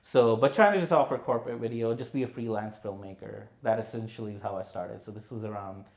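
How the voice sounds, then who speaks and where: A man, a filmmaker guest on a podcast, telling his story in a relaxed way full of "you know" and "right". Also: fairly low pitch, fast, reverberant. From India. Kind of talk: conversational podcast interview.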